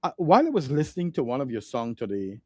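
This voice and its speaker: A man's voice: mid-range voice, very animated, fast talker, warm tone. A man from Guyana, hosting an interview over a remote call in an upbeat, encouraging way, often repeating himself. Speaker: show host.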